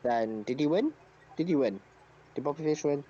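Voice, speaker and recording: A man's voice: a little high, expressive, normal speed, mellow. A man co-hosting a podcast, casually recapping news, recorded on a dull lo-fi mic with faint noise. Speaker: podcast co-host.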